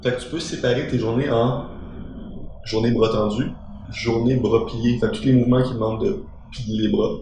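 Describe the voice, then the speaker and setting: Gravelly-voiced man in a very echoey room. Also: mid-range voice, fairly even intonation, moderate pace, dark tone, a little hiss or hum. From Belgium. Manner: explains at length, many fillers and restarts. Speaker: calisthenics trainer, guest. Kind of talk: casual interview talk.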